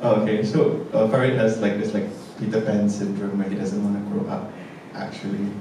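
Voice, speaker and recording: A man's voice: on the low side, flat delivery, brisk. Hesitant man in an echoey theatre. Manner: hesitant and reflective, many fillers and restarts. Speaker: theatre director. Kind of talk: post-show panel discussion. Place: large theatre stage. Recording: echoey theatre from a distance with noise.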